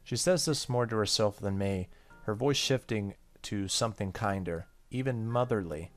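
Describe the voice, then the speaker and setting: Deep-voiced man doing a game let's play. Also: normal speed. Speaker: gaming YouTuber. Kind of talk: let's play, reading game text aloud with casual comments. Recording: faint game music behind voice.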